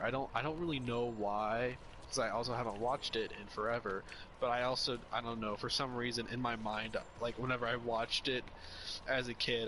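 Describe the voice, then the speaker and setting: A man's voice: mid-range voice, bright, forceful tone, slight background noise. A young man in casual chat as a podcast co-host, rambling and opinionated, often restarting his sentences.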